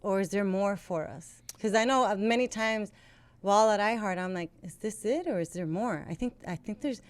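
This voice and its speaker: A woman with a smooth, clear voice at an ordinary pitch, talking as a guest in an interview. She is earnest and reflective, explaining things in a conversational, candid way. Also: moderate pace. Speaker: podcast guest, former radio host.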